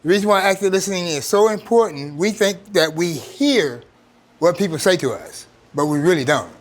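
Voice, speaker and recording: A man's voice: high voice, moderate pace. A man speaking as a trainer in an informal workshop, plain-spoken and repeating phrases for emphasis. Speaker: trainer, program coordinator.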